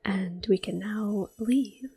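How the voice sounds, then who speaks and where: A soft-spoken woman giving gentle ASMR gameplay commentary, often slipping into a whisper close to the microphone. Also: medium pitch, slow, breathy edge. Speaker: host of a gameplay series. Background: soft game music.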